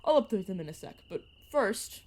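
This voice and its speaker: A woman's voice: medium pitch, very animated, moderate pace, noisy background, close to an everyday voice. A woman voice actor playing a playful, sarcastic young vampire mentor who chats and teases in an audio roleplay. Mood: relaxed, teasing. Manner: teasing, sarcastic, chatty. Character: playful, teasing vampire mentor, turned at nineteen. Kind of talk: narrative audio roleplay, one-sided conversation.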